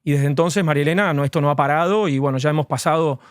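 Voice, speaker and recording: A man's voice: a little high, rapid-fire, clean tone, dry recording, studio quality. A man, an immigration lawyer, answering interview questions earnestly and at length, with frequent fillers.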